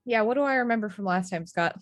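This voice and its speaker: A woman playing a tabletop game chats casually in a mid-pitched voice. She is lively and quick to laugh. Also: dry recording, studio quality. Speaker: player.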